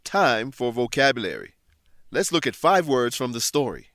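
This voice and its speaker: A man hosting and narrating a scripted language lesson, explaining things in a clear, measured teacher's manner. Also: medium pitch, big pitch swings, a bit bright, no room sound, studio quality. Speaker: host and English teacher.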